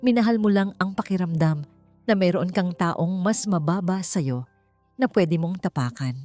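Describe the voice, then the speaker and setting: A woman's voice: lowish voice, darkish tone, dry recording, faint music behind, close to an everyday voice. Dignified, firm wronged wife acted by a woman narrator. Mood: hurt, firm. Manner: measured, firm, emotional. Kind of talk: Tagalog audio drama acting.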